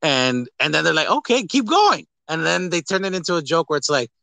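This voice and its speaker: A man's voice: fairly high pitch, big pitch swings, a little fast, gravelly, pushed and bright, no room sound. A man co-hosting a podcast, talking casually about films in a rambling way and acting out quoted lines. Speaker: podcast co-host. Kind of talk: casual movie discussion.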